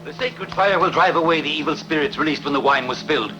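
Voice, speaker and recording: A man's voice: fairly high pitch, fast, dull audio, soft background music, lightly acted. A man acting a confident royal counsellor in a dubbed historical epic, speaking formally and declaiming to his lord.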